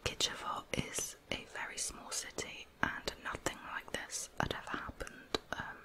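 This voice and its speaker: A woman narrating true crime in a soft, measured, breathy ASMR whisper.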